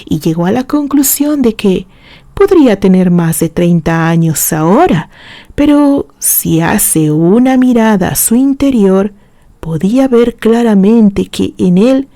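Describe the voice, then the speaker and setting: A woman's voice: mid-range voice, lively intonation, slow pace, dark tone, dry recording. A woman reading a romantic literary story as a scripted narration.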